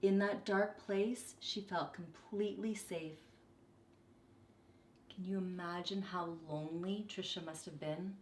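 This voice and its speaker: Expressive woman reading aloud, echoey room. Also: lowish voice, unhurried, slight background noise. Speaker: storybook reader, teacher. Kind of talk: expressive read-aloud for children.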